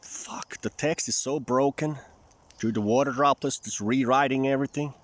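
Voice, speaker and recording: A man's voice: medium pitch, moderate pace. A man from Finland who speaks English with a Southern US-tinged accent, rambling casually and in a folksy, profane way in a vlog. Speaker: vlogger.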